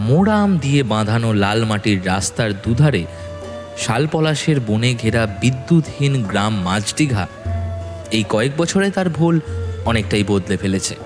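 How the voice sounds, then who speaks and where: A man narrating a scripted horror story in a dramatic, expressive storytelling manner with measured phrasing. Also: medium pitch. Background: background music.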